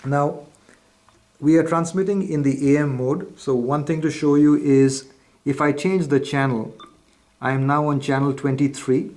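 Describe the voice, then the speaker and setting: A man's voice: a little high, fairly even intonation, measured pace, darkish tone. A man presenting a step-by-step instructional demonstration in a methodical, formal, explanatory way. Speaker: product demonstrator.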